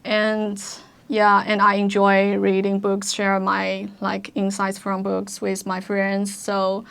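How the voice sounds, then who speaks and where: A woman's voice: medium pitch, completely flat delivery, slow, vocal fry, a bit bright, clean tone. A young woman with a Chinese accent, whose first language is Chinese, chatting as a podcast guest and restarting her sentences often. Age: young adult. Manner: hesitant, many restarts and fillers, laughs often. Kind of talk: casual interview chat.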